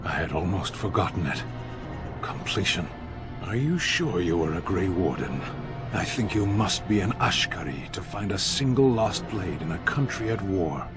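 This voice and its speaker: An actor playing a proud, stoic giant warrior in a fantasy game, terse, deliberate and grave. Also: male voice, low voice, sing-song, music in the background. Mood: grave, resolved.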